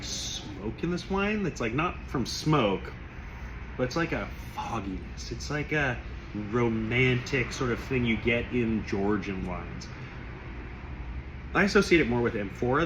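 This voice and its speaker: A man losing his voice, who rambles warmly through a casual wine tasting and keeps clearing his throat. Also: mid-range voice, lively intonation, a little slow, background noise. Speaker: wine shop owner tasting wines.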